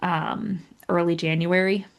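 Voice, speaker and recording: A woman's voice: lowish voice, fairly even intonation, unhurried, vocal fry. A woman chatting casually as a guest writer in an interview, with a self-deprecating, joking manner. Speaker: audio drama writer and guest.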